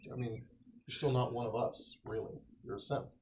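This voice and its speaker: A man's voice: medium pitch, fairly even intonation, fairly quick, darkish tone, a little hiss or hum. A man playing a tabletop roleplaying game, bantering casually in character.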